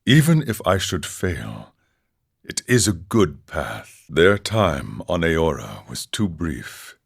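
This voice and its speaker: A man voicing an older, serene and wry wandering ascetic monk in a video game, speaking in a solemn, measured, philosophical way. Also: low voice, sing-song, gravelly, breathy edge, full character voice. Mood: solemn, wistful. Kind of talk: scripted game character dialogue.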